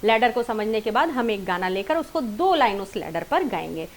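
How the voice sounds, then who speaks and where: A woman's voice: a little high, expressive, normal speed, slight background noise. A woman teaching a singing lesson step by step, informally rephrasing and repeating her points and mixing in English words. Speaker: singing teacher, series host.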